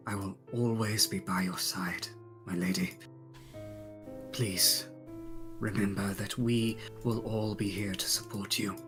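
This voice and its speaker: A man playing a devoted, soft-spoken retainer to a noble lady, speaking in a solemn, formal and measured way. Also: lowish voice, fairly even intonation, over music, pitched below the performer's own voice.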